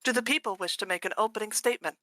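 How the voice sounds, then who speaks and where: A woman's voice: medium pitch, bright, forceful tone, dry recording, lightly acted. A woman voicing a stately machine judge. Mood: solemn. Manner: formal, measured courtroom proclamation. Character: stately female machine judge presiding over trials. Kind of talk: voice acting a game live on stream.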